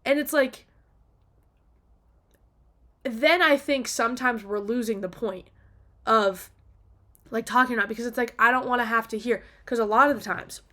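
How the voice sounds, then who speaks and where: A woman with a somewhat high voice delivers a casual, opinionated rant straight off the cuff. She sounds exasperated and leans hard on her points, with swearing dropped in loosely. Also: normal speed, pauses often, sharp tone. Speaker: video diarist.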